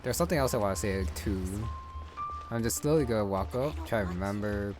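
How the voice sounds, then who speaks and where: A man thinking aloud over gameplay in a relaxed, musing way, his voice sitting low. Game music plays clearly behind him. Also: fairly quick. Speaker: gaming channel host. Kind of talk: casual gameplay commentary.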